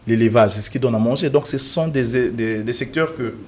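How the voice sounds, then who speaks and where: A man's voice: mid-range voice, normal speed, a little mellow. A man from the Republic of the Congo, a guest on a televised panel, speaking earnestly and emphatically with frequent fillers and restarts.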